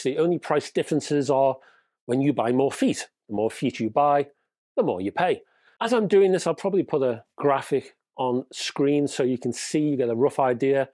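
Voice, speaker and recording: A man's voice: medium pitch, darkish tone, dry recording. A man in his fifties with a stuffy, wheezy cold, reviewing hi-fi gear to camera in a casual, wry, emphatic way, recorded on a lapel mic in a room at home. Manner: chatty, wry, emphatic, leans on key words. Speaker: hi-fi reviewer, channel host.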